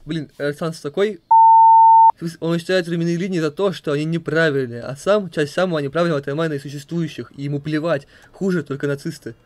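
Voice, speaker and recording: A man's voice: a little high, steady tone, quick pace, slight background noise. A man reading questions and explanations aloud as narrator of an amateur comic dub.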